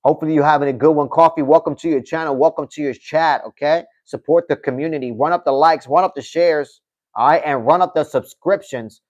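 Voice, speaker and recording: A slightly high-voiced man hosts a casual livestream, chatting loosely with viewers and answering their comments. He talks in a relaxed, rambling way and keeps tossing in "you know what I mean." The audio is a bit dull and lo-fi. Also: fairly quick. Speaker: livestream host.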